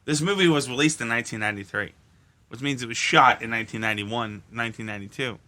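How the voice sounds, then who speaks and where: A man's voice: mid-range voice, fast talker, bright, forceful tone. A man co-hosting a podcast in casual, profane chat, speaking emphatically and repeating phrases for effect. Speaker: podcast co-host.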